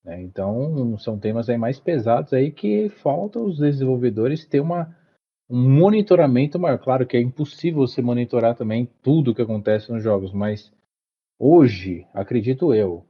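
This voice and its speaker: A man co-hosting a podcast, thinking aloud and often restarting his sentences. His voice is husky and mid-pitched. The recording is dull and lo-fi. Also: expressive, normal speed. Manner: hesitant, many restarts and fillers. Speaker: podcast co-host. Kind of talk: casual podcast chat.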